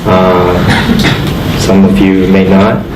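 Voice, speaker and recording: A young man from Indonesia giving a guest lecture to architecture students, modest and self-deprecating, with halting speech full of fillers. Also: low-pitched, completely flat delivery, music in the background. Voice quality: halting, many fillers and restarts. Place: lecture hall. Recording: echoey hall from far off, noisy.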